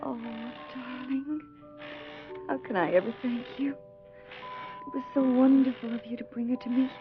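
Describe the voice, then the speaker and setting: A woman's voice: high-pitched, measured pace, over music. A woman playing a possessed wife in a horror radio play, menacing and mocking, sliding into whispers and wails. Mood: mocking, contemptuous. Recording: muffled lo-fi radio drama recording.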